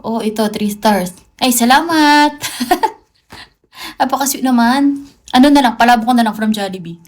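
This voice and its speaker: Female livestreamer, Filipino accent, slightly high voice, casual and playful. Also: normal speed. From Philippines. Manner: playful, chatty, mixes English into her talk. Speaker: livestream host.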